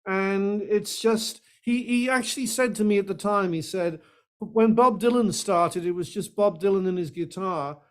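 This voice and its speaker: Older man, around seventy, Welsh accent, high smooth voice, conversational interview guest. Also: normal speed. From Wales. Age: older, about 70. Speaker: guest, singer-songwriter and activist. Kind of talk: conversational self-introduction in an interview.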